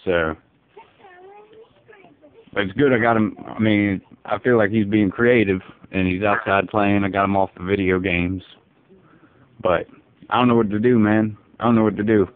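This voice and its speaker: A man playing a folksy, easygoing, bewildered dad, rambling deadpan on a prank phone call. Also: lowish voice, normal speed, pauses often, natural voice. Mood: resigned, musing. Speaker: prank caller. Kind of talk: casual phone prank, improvised. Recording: muffled narrow phone line.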